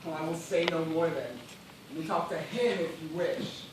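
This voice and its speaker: A man acting in a stage play as a weak, parched gentleman castaway, speaking despairingly in formal, courteous, melodramatic diction. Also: fairly high pitch, normal speed, lightly acted. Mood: wounded. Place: school theatre stage, recorded from afar. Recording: very echoey, noisy distant stage recording.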